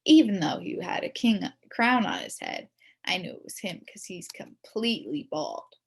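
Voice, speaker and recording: A woman reading a children's story aloud in the first person as a kid, expressively, with dramatic emphasis and drawn-out words. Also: medium pitch, wildly swooping pitch, gravelly, breathy edge. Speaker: storybook reader.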